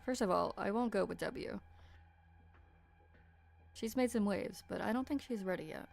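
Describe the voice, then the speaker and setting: A woman's voice: medium pitch, normal speed, gravelly, a little mellow, faint music behind, lightly acted. A woman playing a wary, blunt, seasoned mercenary with a cool delivery in an amateur game dub. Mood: wary, critical.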